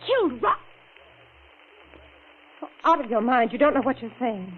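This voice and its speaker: High-voiced, devoted young woman, tinny radio. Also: big pitch swings, measured pace. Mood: alarmed. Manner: earnest, emphatic, dramatic. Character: young, lovestruck woman, devoted and coolly scheming. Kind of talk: 1940s radio horror drama acting. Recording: tinny, noisy vintage radio recording.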